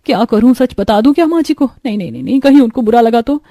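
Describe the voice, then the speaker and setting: A woman voicing a fretful young daughter-in-law who pleads and worries in an animated story. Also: a little high, fast talker, no echo, studio quality. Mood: anxious, despairing. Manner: fretful, pleading, talks to herself. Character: anxious young daughter-in-law hiding a cooking secret. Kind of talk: Hindi animated moral story dialogue.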